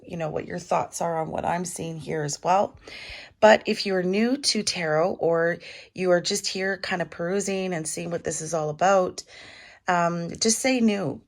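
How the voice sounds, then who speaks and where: Middle-aged woman with a cold, raspy and gravelly, low-pitched, chatting through a home tarot reading. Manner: chatty, warm advice with fillers. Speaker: tarot reader. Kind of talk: casual tarot reading to camera.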